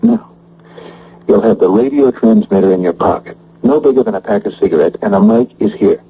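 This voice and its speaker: An actor playing a gruff, protective male investigator in a radio drama. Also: fairly low pitch, animated, brisk, mellow. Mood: businesslike. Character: gruff, protective investigator, a grown man directing a sting. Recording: dull lo-fi old radio recording.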